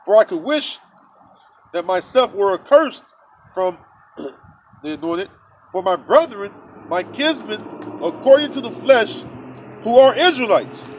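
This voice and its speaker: A man with a high voice preaches outdoors, reading verses aloud and then breaking them down in blunt, casual street talk full of filler sounds. Faint traffic and the open air hum behind him. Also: expressive, unhurried, pauses often, rough recording. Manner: many filler sounds and restarts. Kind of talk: scripture teaching.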